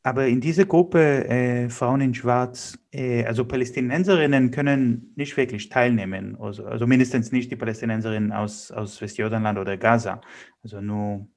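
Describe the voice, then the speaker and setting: A man's voice: medium pitch, fairly even intonation, moderate pace, darkish tone. A man hosting an interview, giving introductions and putting questions to a guest. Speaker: interview host.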